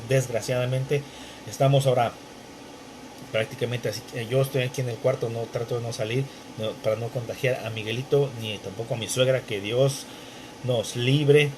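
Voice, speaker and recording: Halting Spanish-speaking man reading names. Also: mid-range voice, steady tone, a little hiss or hum, poor audio. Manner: halting, many restarts and fillers. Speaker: Bible teacher. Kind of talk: conversational Bible study intro. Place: bedroom at home. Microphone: wearing headphones.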